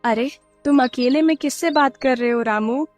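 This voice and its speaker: A woman's voice: sits high, moderate pace, dry recording, faint music behind, lightly acted. A woman playing a startled, fearful village woman in a cartoon story. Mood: puzzled.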